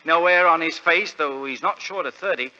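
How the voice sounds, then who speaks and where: Plodding English shopkeeper, very bright voice. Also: male voice, fairly high pitch. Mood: earnest, deferential. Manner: halting, deferential, wordy storytelling. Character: earnest, plodding, thrifty London shopkeeper. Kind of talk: 1940s radio drama acting. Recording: dull, reverberant vintage radio recording.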